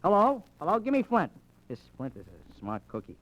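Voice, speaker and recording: Streetwise American bookie, radio thriller: high voice, wildly swooping delivery, slangy patter. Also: male voice, fairly quick, muffled recording. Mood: brisk, pushy. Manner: slangy, chatty, animated. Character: fast-talking, slangy, streetwise small-time racetrack bookie. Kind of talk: old-time radio drama acting.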